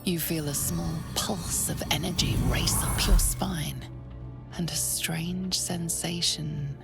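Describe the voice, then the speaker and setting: Fantasy game narrator: very low female voice, slow, hushed and ominous, with music underneath. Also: fairly even intonation, full character voice. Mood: solemn, ominous. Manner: breathy, deliberate, whispery. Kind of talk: scripted second-person game narration. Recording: prominent music behind.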